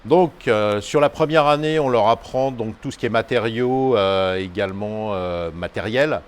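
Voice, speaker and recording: Hesitant low-voiced male teacher. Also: normal speed, smooth. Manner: hesitant, many fillers. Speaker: vocational school teacher. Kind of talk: school video interview.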